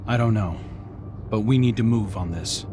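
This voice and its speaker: A man's voice: lowish voice, normal speed, lightly acted. A man playing an alert, practical scout in a video game, speaking in a plain, matter-of-fact way. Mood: urgent. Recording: loud music underneath.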